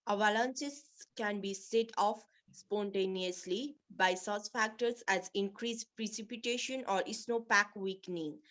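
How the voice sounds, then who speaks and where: A female student in an exam preparation lesson speaks at a middle pitch. She reads aloud and repeats words as practice. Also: unhurried, few pauses, fairly bright tone. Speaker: student in test preparation class.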